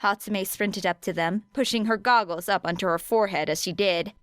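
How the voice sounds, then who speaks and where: Clear-voiced woman narrating a story. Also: mid-range voice, brisk, no room sound, clean studio sound. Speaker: audiobook narrator. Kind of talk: scripted narration of fan fiction. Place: close, dry recording space.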